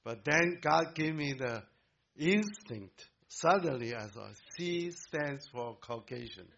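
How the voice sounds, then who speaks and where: Slow, bright-voiced man preaching. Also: medium pitch, expressive, creaky, highs cut off, slight background noise. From Korea. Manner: emphatic, with halting restarts. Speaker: pastor. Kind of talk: sermon.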